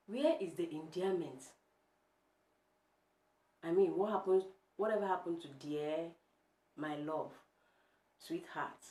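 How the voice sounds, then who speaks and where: A young Nigerian woman with an Igbo accent and a low, deep voice, vlogging from home. She talks emphatically and keeps putting direct questions to the viewer. The room sounds echoey. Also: warm tone. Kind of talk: casual opinion vlog.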